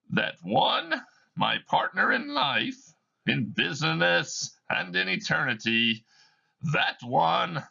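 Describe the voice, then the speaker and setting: A man voicing the ghost of a dead old miser, speaking slowly in a lofty theatrical way. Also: on the high side, creaky, pushed and bright, breathy edge, highs cut off, pitched above the performer's own voice, full character voice. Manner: slow, drawn-out, lofty theatrical delivery.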